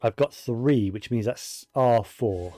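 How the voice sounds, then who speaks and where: Man, dark relaxed voice, casual gameplay commentary, thinking aloud, faint music behind. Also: medium pitch, slow. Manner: musing, thinking aloud to himself. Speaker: video game streamer.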